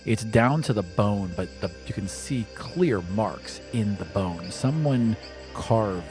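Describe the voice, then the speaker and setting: A man's voice: on the low side, lively intonation, takes it slowly, music in the background. A man narrating a tabletop roleplaying game as game master in a casual, descriptive way. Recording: faint insect noise behind the voice.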